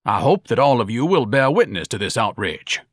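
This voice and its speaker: A man's voice: mid-range voice, very animated, moderate pace, dry recording, clean studio sound, pitched above the performer's own voice. A man voicing a pompous, haughty, outraged grown son who speaks formally in an audiobook novel. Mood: haughty outrage.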